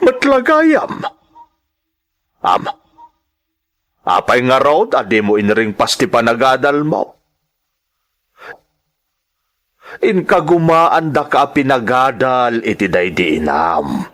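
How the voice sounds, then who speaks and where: An actor playing a stern, exasperated elderly father in a radio drama, scolding with drawn-out emphasis. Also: medium pitch, very animated, a bit bright. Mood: exasperated, reproachful.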